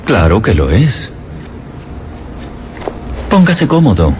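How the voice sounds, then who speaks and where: A man dubbing a cold, formal prison director in a film, measured and commanding. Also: on the low side, very animated, darkish tone, slight background noise. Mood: coolly authoritative. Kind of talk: dubbed film dialogue.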